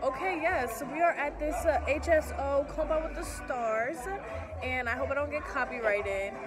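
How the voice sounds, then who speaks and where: A woman's voice: high-pitched, moderate pace, distant mic, over loud music, a loud crowd. A young woman of about nineteen chatting casually and animatedly to camera as a vlogger, in an informal way. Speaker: vlogger and pageant contestant. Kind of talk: casual vlog chat to camera. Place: small indoor rooms. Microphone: phone or camera mic at a short distance. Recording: roomy, noisy, off-mic phone audio.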